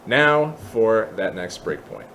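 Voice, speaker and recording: A man's voice: fairly low pitch, sing-song, slow pace, vocal fry, pushed and bright. Casual man explaining, some background noise. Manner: casual, explanatory, thinking aloud. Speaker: tutorial presenter. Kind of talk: unscripted screen-recorded software tutorial.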